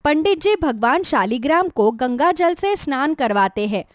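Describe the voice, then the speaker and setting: A woman's voice: high voice, lively intonation, moderate pace, no room sound, clean studio sound. A woman reading a scripted devotional tale as a storyteller for a cartoon. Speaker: storyteller, narrator.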